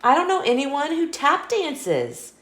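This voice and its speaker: A woman's voice: sits high, wildly swooping pitch, slow pace, fairly bright tone, reverberant, pitched above the performer's own voice, heavily acted. A woman voicing a confident, helpful male cat secret agent in an upbeat, reassuring way for a children's story. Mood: eager.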